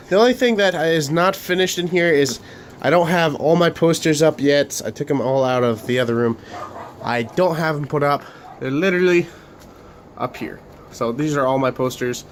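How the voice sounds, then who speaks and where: A young man hosting a casual room tour vlog of his bedroom studio, talking haltingly with many fillers and restarts while coming down with a cold. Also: on the high side, slight background noise. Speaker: Lego channel host.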